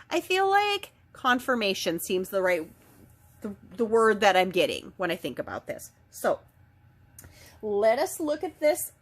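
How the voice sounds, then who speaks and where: A woman with a head cold and a slightly nasal voice, giving a casual spiritual oracle card reading in a chatty, gentle way. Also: fairly high pitch. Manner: chatty, gentle, with frequent ums and self-corrections.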